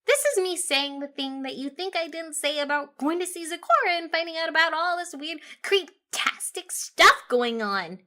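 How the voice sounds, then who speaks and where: Hyper young female cartoon pony, very high, bright, rambling and scatterbrained. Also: lively intonation, moderate pace, few pauses, full character voice. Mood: flustered. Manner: rambling, emphatic, informal.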